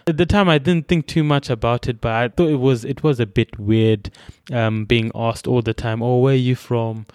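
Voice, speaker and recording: A man's voice: medium pitch, fairly even intonation, normal speed, few pauses, a little mellow, clean tone, dry recording. Thoughtful young Zimbabwean man, dry sound. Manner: thoughtful, frequently restarting phrases. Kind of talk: reflective personal account.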